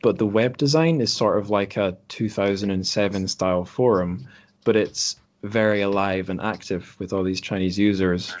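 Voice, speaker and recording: A man hosting a podcast in a casual chat, speaking in a thoughtful, halting way. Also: fairly low pitch. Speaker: podcast host. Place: remote group call.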